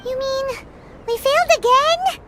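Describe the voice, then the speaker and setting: A woman voicing a tiny childlike fairy companion in a video game, squeaky, cheeky and excitable, speaking in exclamations. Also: a little slow, heavy background noise. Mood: disappointed. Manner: refers to herself in third person, exclamatory. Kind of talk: video game character acting. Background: background music.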